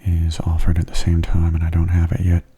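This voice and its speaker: A man speaks softly and breathily, close to a whisper, as he commentates on a video game in ASMR style. His voice is low and very mellow, with a flat, narrow melody. He is gentle and unhurried throughout. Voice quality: soft, breathy, half-whispered. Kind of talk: soft-spoken ASMR gameplay commentary.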